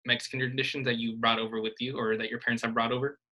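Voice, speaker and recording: A young man asking interview questions over a video call in a relaxed, friendly way. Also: medium pitch, rapid-fire, low-quality recording. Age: young adult. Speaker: interviewer, student.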